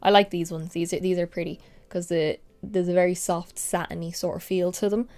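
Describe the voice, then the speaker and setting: A young woman vlogger chatting through a makeup tutorial, a little nasal and sniffly from a lingering cold, drifting into soft whispers now and then. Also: on the low side, steady tone, a little fast. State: congested, recovering from a cold. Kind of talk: casual makeup tutorial chat.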